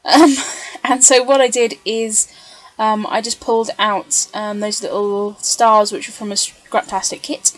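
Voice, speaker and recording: A woman's voice: mid-range voice, flat delivery, unhurried, poor audio. A woman giving a casual, chatty, rambling voice-over for a craft video. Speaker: scrapbooker narrating craft video.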